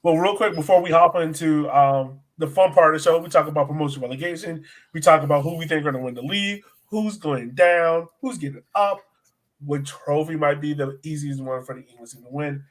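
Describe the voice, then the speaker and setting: A man's voice: fairly high pitch, fairly quick. A man hosting a sports podcast in a casual, chatty style.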